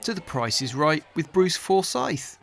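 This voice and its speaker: A man's voice: on the high side, sing-song, measured pace, creaky. A man reading scripted voice-over narration for a restoration video.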